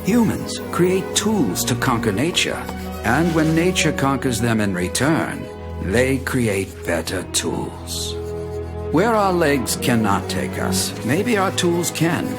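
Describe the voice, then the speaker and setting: An actor playing an aged, wistful but resolute adventurer near death in a solemn, poetic video game monologue. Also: male voice, mid-range voice, big pitch swings. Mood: resolute, hopeful. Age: older. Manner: solemn, measured, poetic delivery. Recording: loud music underneath. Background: music.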